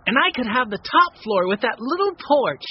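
Man doing a high, eager little boy, always hungry; husky, dry storybook read. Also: normal speed, lo-fi sound, exaggerated voice. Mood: excited. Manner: eager, excitable. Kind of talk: storybook reading.

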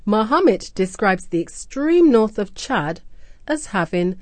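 A woman radio presenter hosting a scripted language-learning programme, explaining words and phrases clearly and deliberately. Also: mid-range voice, very animated, dry recording. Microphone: close studio mic.

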